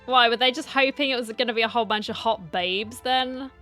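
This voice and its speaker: A woman's voice: on the high side, brisk, sharp tone. A woman giving casual, wry, chatty reaction commentary while playing a video game. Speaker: gaming streamer. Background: faint game music.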